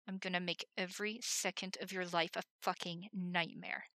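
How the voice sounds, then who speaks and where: A woman's voice: lowish voice, little pitch movement, normal speed, bright, forceful tone, dry recording, clean studio sound. A woman voicing a short, grumpy male soldier captain who snarls and speaks curtly. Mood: snarling. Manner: curt, snarling.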